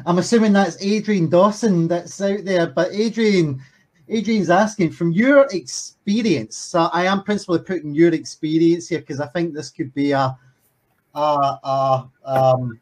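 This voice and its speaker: A man's voice: on the high side, darkish tone. A man hosting a webinar over a video call, reading out audience questions with lots of ums and hesitations. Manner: hesitant, filler-heavy, reads questions slowly.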